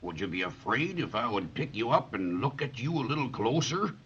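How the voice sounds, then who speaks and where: An actor playing a kindly, gentle giant with weak eyes in an old radio drama, folksy and slow. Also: male voice, medium pitch, very animated, breathy edge, exaggerated voice. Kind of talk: 1930s radio drama acting. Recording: dull old radio recording.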